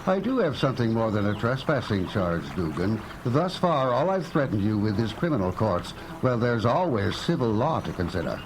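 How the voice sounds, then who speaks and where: A man's voice: fairly low pitch, very animated, moderate pace, hardly any pauses, background noise. Man playing a sneering, swooping pompous crank. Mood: smug, sneering. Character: haughty, spiteful man feuding with his brother and neighbors. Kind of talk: live radio drama acting. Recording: live radio recording with music.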